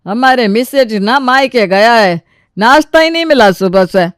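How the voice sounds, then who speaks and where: A woman's voice: a little high, lively intonation, measured pace, smooth, no room sound. Hungry older uncle a woman acts him, wheedling, cartoon tale, dry close sound. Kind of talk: children's cartoon story dialogue.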